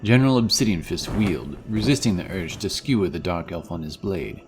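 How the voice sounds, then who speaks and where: A man's voice: deep voice, animated, brisk, slight background noise. A man giving dramatic scripted narration of a fantasy story.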